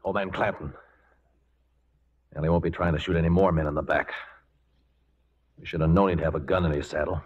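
A man's voice: low voice, fairly even intonation, fairly quick, long pauses, breathy edge. An actor playing a loyal, watchful frontier lawman in a 1940s radio western drama, a man. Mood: alert. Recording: dull 1940s radio broadcast recording.

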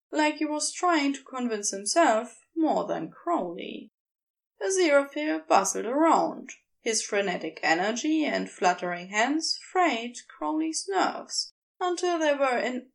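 A woman narrates a piece of fiction in a high voice. Her delivery is very animated in pitch and unhurried, measured like careful audiobook storytelling. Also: creaky.

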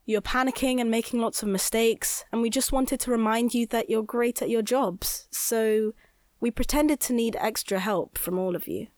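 A woman's voice: a little high, normal speed, darkish tone, dry recording, lightly acted. A woman playing a well-meaning, earnest young schoolboy in a children's audio drama. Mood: earnest, reassuring.

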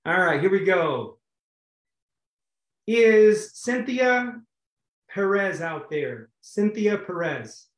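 A man hosting a community video call, chatting with excitable bursts and restarts. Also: high voice, reverberant. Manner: excitable, quick swings to loud bursts, repeats himself. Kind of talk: enthusiastic casual chat.

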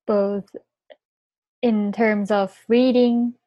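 Young japanese woman cohosting a podcast, japanese accent, slow easy pace, dry close sound. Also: medium pitch, fairly even intonation, no echo, muffled recording. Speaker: podcast co-host. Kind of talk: casual chat.

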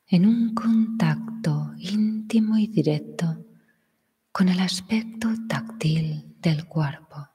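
A woman guiding a meditation, speaking in a soft, breathy near-whisper with long calm pauses. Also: fairly low pitch. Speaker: meditation guide. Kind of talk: guided meditation. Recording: long reverb.

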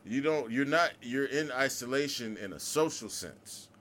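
Young man, podcast co-host, casual chat, low voice, bright tone, lively pitch, faint background noise. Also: normal speed. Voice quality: low monotone voice. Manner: laughs and gets loud when riled up.

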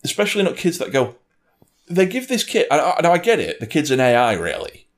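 A man's voice: on the high side, very animated, fairly quick. A man chatting casually and giving wry, opinionated reviews, with some swearing and mimicry. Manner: wry, sweary, puts on mock voices while ranting. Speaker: film and game reviewer in a two-person discussion.

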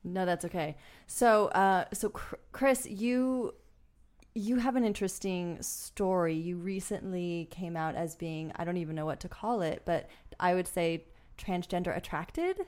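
Low-pitched woman host asking questions. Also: unhurried, darkish tone. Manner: hesitant, questioning. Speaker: podcast co-host. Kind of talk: casual interview chat.

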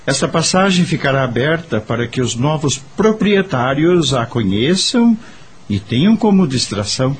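Expressive, swooping kindly male doctor. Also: medium pitch, moderate pace, husky. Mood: matter-of-fact. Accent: Brazilian. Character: calm, kindly doctor, a serene male spiritist. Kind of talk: radio soap opera acting.

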